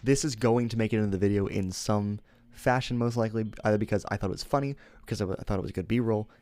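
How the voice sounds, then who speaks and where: A man's voice: fairly low pitch, quick pace, darkish tone, no room sound. A young man streaming, chatting casually and rambling, often correcting himself and laughing.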